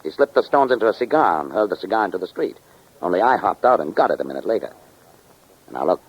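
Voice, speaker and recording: An actor playing a tough, shrewd dealer in stolen goods in a 1940s radio crime drama, curt and slangy. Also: male voice, deep voice, very animated, quick pace, slightly rough, full character voice. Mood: sly, hushed dealing. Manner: curt, slangy, hard-boiled. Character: tough, shrewd male fence dealing in stolen diamonds. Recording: muffled, dull 1940s radio recording.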